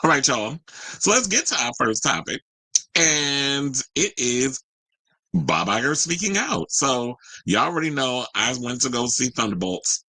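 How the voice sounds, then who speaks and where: A man's voice: medium pitch, big pitch swings, a little slow, sharp tone, no room sound, rough recording. A man hosting a live stream in a casual, chatty, gossipy way, rambling into asides and informal slang. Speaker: host of a celebrity news live stream. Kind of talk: casual chatty pop-culture commentary.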